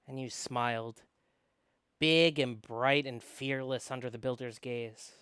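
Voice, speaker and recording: A performer voicing a wounded, wistful visual novel character in a hushed, dramatic way. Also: medium pitch, steady tone, slow, clean tone, no echo. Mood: wistful, hurt. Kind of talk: acted visual novel reading.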